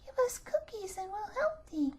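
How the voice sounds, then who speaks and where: A woman voicing a group of grateful little mice in a storybook, squeaking high and soft. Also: sing-song, moderate pace, a little hiss or hum. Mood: pleading.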